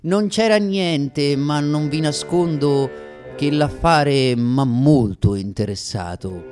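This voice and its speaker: A hammy roman variety show prologue, highish male voice, self-mocking and plaintive, declaims w long pauses, music behind. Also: darkish tone. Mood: wry, declamatory. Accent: Roman Italian. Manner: declamatory, with drawn-out pauses and sudden hurried bursts. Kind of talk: theatrical comic stage monologue for radio theatre.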